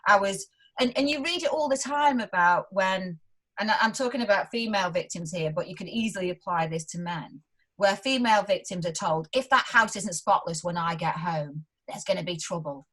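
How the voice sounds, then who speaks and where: A young woman chats as a guest on an interview, her mid-range voice animated and full of laughter. She slips into other people's voices as she talks. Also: mid-range voice, low-quality recording. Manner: animated, quick to laugh, acts out quotes. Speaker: guest researcher. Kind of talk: casual interview chat.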